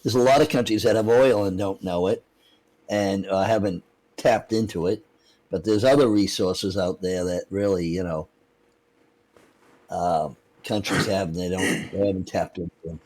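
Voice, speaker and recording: A man's voice: low-pitched, steady tone, moderate pace, a little mellow, poor audio. A man chatting casually in an easygoing, joking way. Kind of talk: casual chat.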